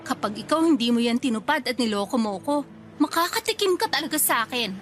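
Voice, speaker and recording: High-voiced, teasing Filipina girlfriend. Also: lively intonation, a little fast, a bit bright, faint music behind. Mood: doubtful. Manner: playfully skeptical, coy. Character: tired, doubtful, teasing young working girlfriend. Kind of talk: radio romance drama acting.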